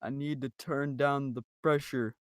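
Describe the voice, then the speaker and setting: A man's voice: mid-range voice, fairly even intonation, measured pace, a crowd in the background. A man chatting casually on a podcast, rambling and repeating himself as he tells anecdotes. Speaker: podcast co-host. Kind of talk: casual chat.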